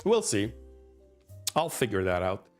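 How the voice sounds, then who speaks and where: A man giving casual live-coding commentary as a developer, halting as he thinks aloud. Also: lowish voice, sing-song, soft background music. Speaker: software developer and live streamer.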